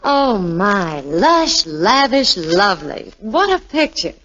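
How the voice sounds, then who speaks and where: A woman's voice: medium pitch, very animated, takes it slowly, fairly bright tone. An American woman radio reporter delivering scripted 1940s promotion in a gushing, exclamatory manner. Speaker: Hollywood reporter. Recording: muffled old radio recording, faint noise.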